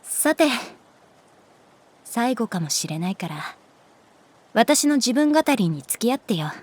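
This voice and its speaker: A woman voicing a calm, resigned, gently protective art teacher in a Japanese audio drama, speaking in a soft, measured, wistful way. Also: fairly high pitch, a little hiss or hum. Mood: wistful, confessional. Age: adult. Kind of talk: Japanese audio drama acting, intimate dialogue.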